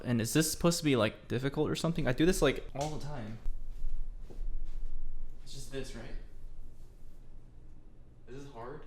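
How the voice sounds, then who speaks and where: A young man from Korea, Korean his first language, reads out fan questions and answers them with dry, self-mocking jokes. His voice sits at an everyday middle pitch. Clear background music plays under his talking. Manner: playful, self-deprecating, reads questions aloud. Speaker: YouTuber. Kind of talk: casual Q&A vlog.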